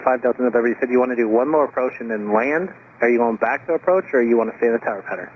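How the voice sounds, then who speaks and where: A man's voice: medium pitch, very fast, phone-quality sound. A man working as an air traffic controller, speaking clipped phraseology over aviation radio.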